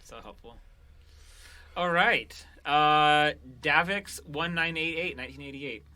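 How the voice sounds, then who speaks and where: A man's voice: a little high, unhurried, pushed and bright. A man co-hosting a podcast in casual banter, speaking informally and laughing easily. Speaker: podcast host, show creator.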